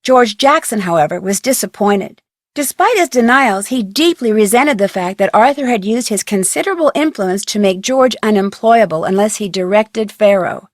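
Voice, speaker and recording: A woman's voice: mid-range voice, lively intonation, normal speed, no echo, clean studio sound, close to an everyday voice. An actress playing an upbeat young amateur detective, chatty and wry, narrating her case in a mystery game. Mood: wry, satisfied. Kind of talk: scripted first-person narration for a mystery game.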